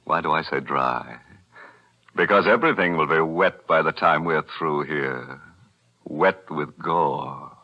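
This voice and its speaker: An actor playing a mock-sinister, tongue-in-cheek horror host on a 1940s radio show. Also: male voice, very deep voice, a little slow, heavily acted. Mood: gleefully macabre. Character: ghoulish, gleefully punning male horror host. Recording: muffled old radio recording, music behind.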